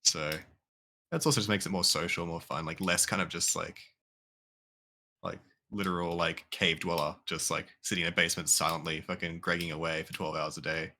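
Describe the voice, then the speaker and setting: A man's voice: low voice, flat delivery, brisk, sharp tone. A man chatting casually while streaming a modded game, rambling and swearing loosely. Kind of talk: casual gaming chat.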